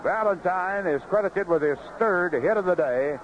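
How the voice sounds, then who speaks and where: A man calling baseball play-by-play on live radio in clipped phrases, pausing between pitches. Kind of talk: live radio sports commentary. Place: outdoor ballpark broadcast booth. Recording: noisy, muffled vintage 1970s radio broadcast.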